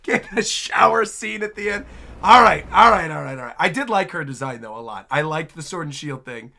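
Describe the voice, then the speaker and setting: A man hosting a casual reaction show, commenting on game trailers in an animated, exclaiming way with playful mock outrage. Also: fairly high pitch, fairly quick, gravelly.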